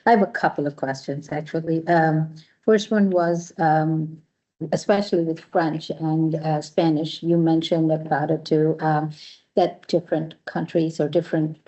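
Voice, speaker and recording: A woman on a school board asking questions in a measured, hesitant way, with many pauses and ums. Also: low voice, fairly even intonation, mellow, highs cut off. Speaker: board member. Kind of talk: questioning presenters at a board meeting.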